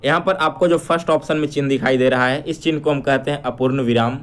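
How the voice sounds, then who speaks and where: A man speaks as an exam-prep teacher in a middle-pitched voice. He addresses his students directly, reading out questions, repeating them and explaining the answers in an instructive way. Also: fairly even intonation, quick pace, fairly bright tone, clean tone. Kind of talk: explanatory quiz lesson.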